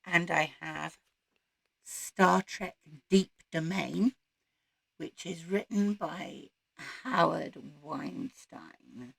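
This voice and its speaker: A woman talks casually to her viewers in a croaky voice that is still recovering. It is heavy with gravelly fry and moves at an easy, slightly slow pace with pauses between short phrases. The sound is dry and close, with little room around it. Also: lowish voice, a bit bright, no room sound. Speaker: host of a book channel. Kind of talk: casual chat to camera about reading plans.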